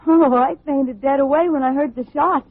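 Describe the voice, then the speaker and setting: High, tinny-sounding earnest young radio heroine, actress playing her. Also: moderate pace, no room sound, close to an everyday voice. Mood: softly grateful. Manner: hesitant, emotional. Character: earnest, timid, grateful young woman in love. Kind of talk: old-time radio drama acting. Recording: tinny, muffled old radio recording.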